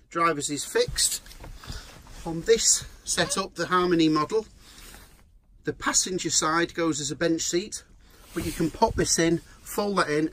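A man's voice: fairly high pitch, a bit bright, a little hiss or hum. A man giving an upbeat, chatty walkthrough of a small camper van, filming on a handheld phone. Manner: chatty, upbeat sales patter. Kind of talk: handheld walkaround video tour. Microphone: phone camera held in hand.

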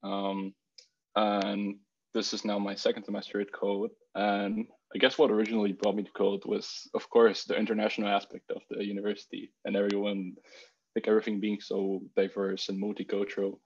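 Creaky, husky young man on a call. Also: on the low side, completely flat delivery, fairly quick, highs cut off. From Bulgaria. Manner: hesitant, repeats words. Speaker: student panelist. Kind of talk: casual remote panel answer. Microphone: video call.

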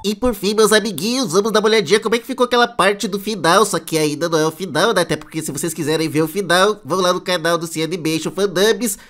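A man's voice: high voice, fast, few pauses, a bit bright. A man with a Brazilian accent voicing a chatty, playful cartoon sponge who hosts reaction videos with exaggerated commentary. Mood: chatty, amazed. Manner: playful, exaggerated, addresses viewers as little friends. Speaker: host of a reaction channel. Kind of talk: casual reaction commentary for kids.

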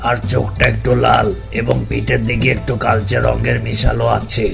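A man voicing a bossy ogre demon chief in a cartoon, with a gravelly, creaky voice. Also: mid-range voice, monotone, normal speed, music in the background. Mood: eager, conspiring. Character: bossy male ogre demon leading his minions. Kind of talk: Bengali cartoon dubbing.

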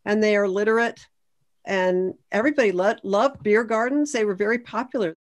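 A woman's voice: mid-range voice, normal speed. Female historian, mid-range voice, emphatic explanatory delivery over a video call. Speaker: historian panelist. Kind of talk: explanatory panel discussion.

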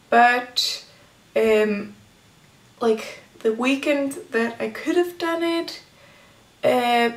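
A woman of twenty chatting casually to camera from a small indoor room, talking with lots of "like" and "um" fillers. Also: on the high side. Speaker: vlogger. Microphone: camera framed head and shoulders. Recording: echoey room.

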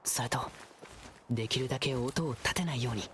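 A man voicing a gentle, alert and confident boyish young guide in a video game. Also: medium pitch, fairly quick, slight background noise, natural voice. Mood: cautious, hushed. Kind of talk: Japanese game voice acting.

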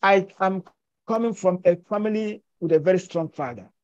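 A man's voice: sits high, moderate pace, slight background noise. An older man telling a story emphatically and persuasively over a video call. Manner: emphatic, persuasive, gesturing with his hands. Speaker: filmmaker and actor discussing his film. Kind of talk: conversational storytelling. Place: indoors at home, virtual backdrop. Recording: muffled video call audio.

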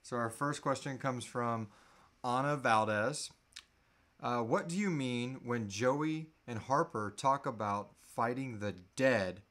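A man's voice: mid-range voice, slow, fairly bright tone. A man in his thirties hosting a casual live Q&A in an informal, easygoing and sweary way. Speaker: podcast host. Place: small indoor room at home.

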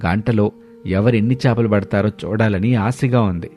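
A man voicing an easygoing, teasing older father-in-law in a cartoon story, playful and relaxed. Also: on the low side, moderate pace, faint music behind, lightly acted.

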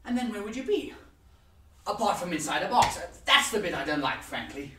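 A man's voice: high-pitched, big pitch swings, voice pushed up. Young man acting morbid rambling muser, distant echoey sound. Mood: uneasy musing. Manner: halting, conversational musing with swings in volume. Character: morbidly musing, rambling man pondering death in a box. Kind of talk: theatre monologue. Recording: roomy, distant mic with noise.

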